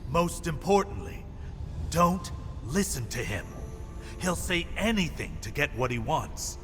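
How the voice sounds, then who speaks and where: An earnest ally in a fantasy game. His voice is a little high for a man and very unhurried, with cautious solemnity. Long reverb trails it over the music. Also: sing-song. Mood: cautioning. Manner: deliberate, solemn. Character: cautious, earnest male ally advising the hero.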